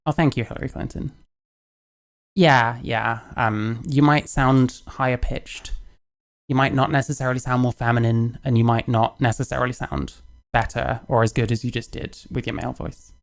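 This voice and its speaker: A man's voice: mid-range voice, steady tone, normal speed, gravelly. A man speaking casually and candidly, rambling and self-deprecating, laughing and swearing as he reacts to a clip. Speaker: youtuber reacting to another creator's video.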